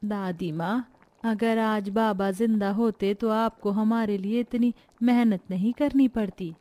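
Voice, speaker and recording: Woman playing a caring, unhurried teenage village girl. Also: medium pitch, steady tone, mellow, lightly acted. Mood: tearful, tender. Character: caring, earnest sixteen-year-old village granddaughter. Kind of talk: Hindi cartoon story voice acting.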